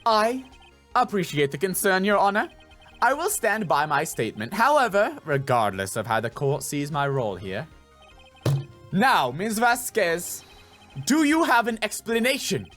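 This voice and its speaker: A man voicing a poised, proud male prosecutor in a video game, formal and deliberate, with music behind. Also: sits high, big pitch swings, a bit bright, voice pushed up, exaggerated voice. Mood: proud, composed.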